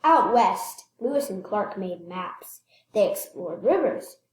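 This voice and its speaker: A woman reading a children's picture book aloud expressively, stressing words and pausing to point things out. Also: medium pitch, lively intonation, a little mellow, reverberant, a little hiss or hum. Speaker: read-aloud storyteller.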